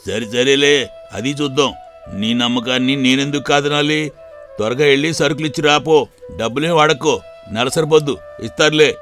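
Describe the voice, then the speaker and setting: A man's voice: medium pitch, moderate pace, fairly bright tone, faint music behind, lightly acted. A man playing a kindly village grocery owner in a moral story, talking familiarly and rustically as a trusting boss. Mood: trusting, curious. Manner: familiar, rustic employer's talk. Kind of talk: dramatized moral story for video.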